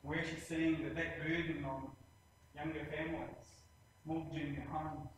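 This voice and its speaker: A man with a New Zealand accent giving a presentation on hospital plans, speaking plainly with many pauses and a habit of saying "actually". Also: fairly high pitch, little pitch movement, distant mic, noisy background. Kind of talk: presentation in a lecture series. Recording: very echoey room, voice from afar, faint ambience.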